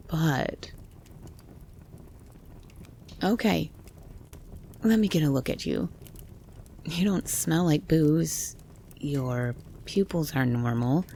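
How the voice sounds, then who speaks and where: Woman playing a grumpy forest loner, female, blunt, wary, frayed creaky voice, faint noise behind, audio roleplay. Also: low-pitched, animated, slow, warm tone, heavily acted. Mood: guarded concern. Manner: blunt, grumpy, foul-mouthed. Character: gruff, wary armed woman living in a forest cabin. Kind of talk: horror audio roleplay, ASMR.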